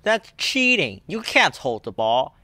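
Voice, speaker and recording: A woman as a shouty young boy dog. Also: sits low, very animated, takes it slowly, pitched above the performer's own voice, exaggerated voice. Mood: boisterous, indignant. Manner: shouty, emphatic.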